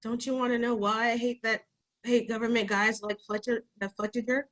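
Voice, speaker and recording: A woman's voice: a little high, flat delivery, normal speed, fairly bright tone, lightly acted. A woman playing a defiant, wary young girl in a screenplay table read, speaking bluntly and informally. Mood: bitter, upset.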